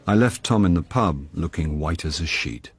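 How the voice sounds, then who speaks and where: An actor playing a rumpled middle-aged British actor turned amateur sleuth in a radio drama, wry, hesitant and self-deprecating. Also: male voice, low-pitched, animated, moderate pace, slightly rough, full character voice. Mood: dry narration. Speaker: lead actor and narrator. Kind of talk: BBC radio drama acting and first-person narration.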